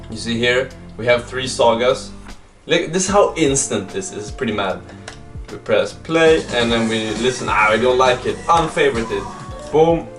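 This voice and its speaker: A man talking informally in a casual video diary, presenting his software project as a developer. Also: mid-range voice. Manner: informal, conversational, mild swearing. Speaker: startup vlogger and app developer.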